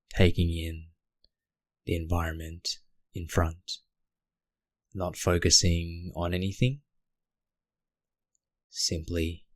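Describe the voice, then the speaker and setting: A man guiding a meditation in a gentle, unhurried way, speaking in short phrases with long pauses. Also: sits low, no room sound. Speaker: meditation guide. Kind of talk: guided meditation narration.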